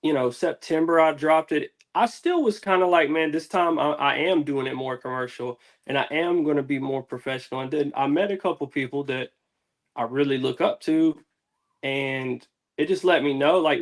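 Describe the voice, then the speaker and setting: A man, a musician guest, talking casually and reflectively in slangy, candid, rambling interview answers. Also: medium pitch.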